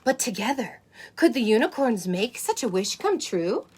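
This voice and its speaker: A woman's voice: a little high, very animated, moderate pace, a little mellow, husky. A woman playing a sweet, wide-eyed unicorn who hosts story time for children, with cooing wonder and exaggerated emphasis. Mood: storytelling wonder. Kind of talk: animated picture-book reading for children.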